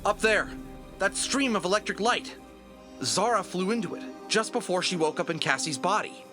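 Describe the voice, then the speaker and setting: Animated, wisecracking adventurer hero, acted by a man. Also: high-pitched, moderate pace, music in the background. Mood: incredulous, excited. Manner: wisecracking, excitable, casual. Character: plucky, sarcastic adventurer hero, wary and easily flustered. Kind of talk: audio drama acting.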